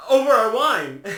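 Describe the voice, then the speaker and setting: Giddy desperate drunk nobleman, high sharp voice, stammery, gothic audiobook, echoey. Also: exaggerated voice. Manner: halting, stammering, theatrical. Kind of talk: dramatic gothic audiobook acting. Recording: roomy, echoing sound.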